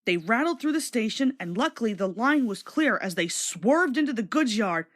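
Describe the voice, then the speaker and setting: Lively, high-voiced woman narrating a storybook. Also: normal speed. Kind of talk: scripted children's read-along narration.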